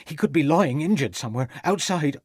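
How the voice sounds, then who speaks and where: A man's voice: medium pitch, fairly quick, a little mellow, husky, no room sound, clean studio sound, natural voice. A man putting on a fretful tearful female cook. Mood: distressed. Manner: anxious, quavering, stammering pleas.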